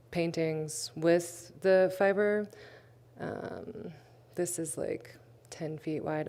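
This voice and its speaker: A woman's voice: low-pitched, creaky, darkish tone. A woman artist talking casually about her work, hesitant and full of fillers. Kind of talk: livestream artist talk.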